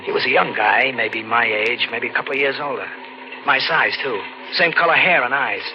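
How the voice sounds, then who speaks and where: A man's voice: mid-range voice, moderate pace, a little hiss or hum, close to an everyday voice. An actor playing a scrappy, broke young male drifter in an old-time radio drama, talking in slangy, colloquial style. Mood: uneasy recollection. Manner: colloquial, slangy hard-boiled narration. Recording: muffled vintage radio recording. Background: loud dramatic music.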